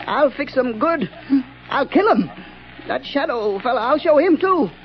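A man's voice: extremely high pitch, animated, unhurried, slight background noise, heavily acted. An actor playing an unhinged, murderous night watchman in an old radio drama, stammering and giggling in a nervous, childlike way. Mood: brooding, vengeful. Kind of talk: 1940s radio acting. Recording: muffled old-time radio recording.

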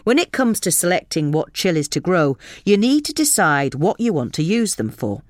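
British woman reading, lively and dry. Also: fairly low pitch, normal speed, no echo. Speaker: article reader. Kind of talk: scripted magazine article narration.

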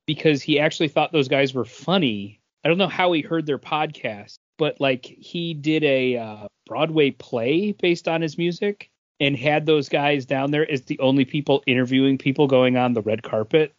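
A man co-hosting a podcast in casual chat, telling things in a halting, conversational way. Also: on the high side, no room sound. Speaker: podcast co-host. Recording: dull lo-fi audio.

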